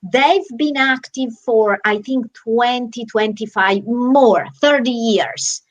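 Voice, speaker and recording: A woman's voice: a little high, slow pace. A woman with an Italian accent, artistic director of a theatre troupe, chatting animatedly on a video call with many restarts. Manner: lively, animated, frequent restarts. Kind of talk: casual video-call chat.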